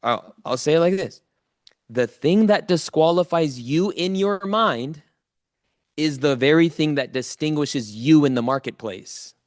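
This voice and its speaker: A man's voice: fairly high pitch, measured pace, no room sound, studio quality. A man giving a motivational coaching talk to a live online audience, speaking informally and directly to listeners and repeating key phrases for emphasis.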